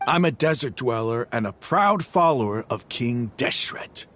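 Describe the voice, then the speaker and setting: A man's voice: mid-range voice, animated, a little slow, a bit bright, a little hiss or hum. A man voicing a smug, zealous male desert mercenary, in a game. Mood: proud. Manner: smug, mocking.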